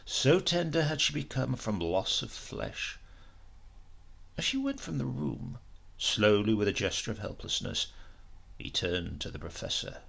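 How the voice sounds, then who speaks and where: A man's voice: fairly low pitch, sing-song, a little slow, creaky, fairly bright tone, slightly rough. A man narrating a horror story as a dramatic audiobook reading.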